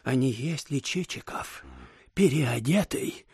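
A man playing an excitable, suspicious, gossiping official in an audio drama. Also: mid-range voice, takes it slowly, breathy edge, dry recording, clean studio sound, full character voice. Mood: conspiratorial, excited. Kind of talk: theatrical audiobook radio play.

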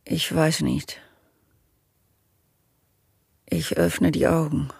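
Slow, creaky, weary woman driver. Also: deep voice, big pitch swings, no echo, clean studio sound, full character voice. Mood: weary, detached. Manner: fragmentary, slow, halting phrases, often hushed. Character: weary, disoriented woman driver adrift on a road trip. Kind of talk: poetic radio drama monologue.

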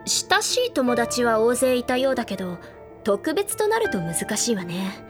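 A woman playing a confident, teasing high-school senior girl who recounts gossip in a feminine storytelling way in an audio drama. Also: big pitch swings, normal speed, music in the background. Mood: playful, informative. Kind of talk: anime audio drama dialogue.

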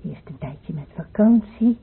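An actress playing a nervous, fretful middle-aged mother in a radio drama, with a breathy, plaintive, rambling way of talking. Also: on the low side, lively intonation, measured pace, a little hiss or hum. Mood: worried coaxing. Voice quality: husky, breathy. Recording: muffled, phone-like old radio recording.

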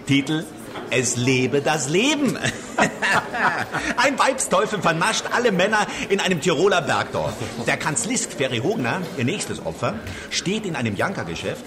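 Boisterous, high-voiced male party raconteur. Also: measured pace. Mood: exuberant, nostalgic. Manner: theatrical, exuberant, mocking storyteller. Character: boisterous male film-world party guest telling old anecdotes. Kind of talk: radio drama acting. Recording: lively crowd chatter behind.

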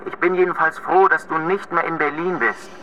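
An actor playing an anxious adult son speaking over a telephone in a German radio drama. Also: male voice, a little high, steady tone, fairly quick, sharp tone. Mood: worried, urgent. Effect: telephone filter. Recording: thin, distant phone line, faint noise.

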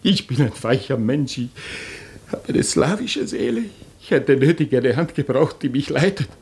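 An actor in a German radio play playing a wheedling, self-pitying swindler who pleads and justifies himself theatrically. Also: male voice, mid-range voice, animated, moderate pace, darkish tone, close to an everyday voice. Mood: pleading, self-pitying.

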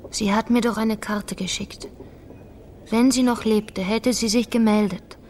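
A woman's voice: fairly high pitch, steady tone, measured pace, a little mellow, a little hiss or hum, close to an everyday voice. An actress playing a guarded, resolute politician's daughter in a German radio crime drama, reserved and controlled in her delivery.

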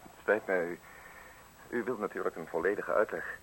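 A man's voice: sits low, fairly even intonation, moderate pace, gravelly, a bit bright, rough recording. An actor playing a polite, formal man on a secretive errand in a Dutch radio play. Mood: deferential, fussy. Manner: overly polite, fussy, precise. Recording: hissy old radio drama recording.

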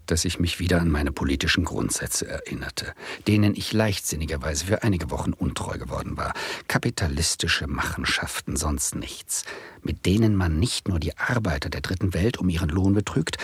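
Nervous small-time speculator, husky low voice. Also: male voice, expressive, normal speed, dry recording, heavily acted. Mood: dry, ironic. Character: nervous amateur male cocoa speculator telling his story. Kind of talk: 1970s German radio play narration and dialogue.

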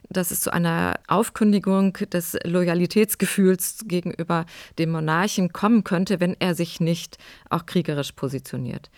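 Woman history expert explaining stuff academically, bit of fry in a lowish voice, dry close sound. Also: dry recording.

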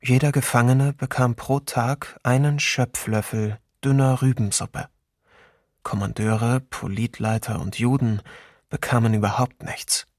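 An actor playing a male eyewitness in a documentary radio play, soberly recounting wartime atrocities in measured narration. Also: mid-range voice, fairly even intonation, darkish tone, dry recording, studio quality, natural voice. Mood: sober, grave.

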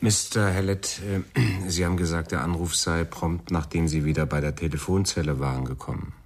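Deep, husky police sergeant. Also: male voice, steady tone, brisk. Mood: probing. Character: deferential, attentive male police sergeant. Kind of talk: German radio crime drama acting.

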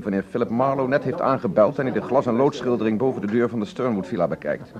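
A man acting an indignant, bookish storyteller in a radio play. He rattles along quickly and wordily, in a muffled recording with a busy crowd behind him. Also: mid-range voice, lightly acted. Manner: wordy, indignant storytelling with quotations. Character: aggrieved, long-winded, bookish husband and radio obituary reporter.

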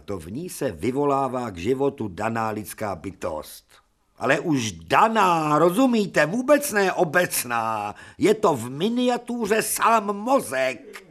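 A scornful old professor. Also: male voice, a little high, a bit bright, full character voice. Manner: scornful, emphatic and lecturing. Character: older male professor, irascible and sarcastic. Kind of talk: radio drama acting.

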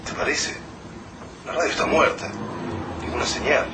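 A man's voice: mid-range voice, fairly even intonation, normal speed, lightly acted. Actor as Juan, wary Argentine man, noisy scene. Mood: doubtful. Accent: Rioplatense Argentine Spanish. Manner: cautious, colloquial. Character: Juan, wary hesitant man surviving a deadly snowfall. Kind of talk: radio drama acting. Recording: very noisy background.

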